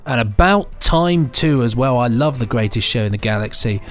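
A man co-hosting a podcast, chatting playfully and enthusiastically, sometimes stammering in quick bursts. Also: medium pitch, lively intonation. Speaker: podcast co-host. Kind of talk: casual podcast chat.

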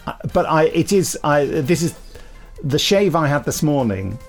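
Lively middle-aged man, jokey. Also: on the high side, moderate pace, soft background music. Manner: jokey, self-deprecating. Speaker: podcast host. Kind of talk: casual comic chat.

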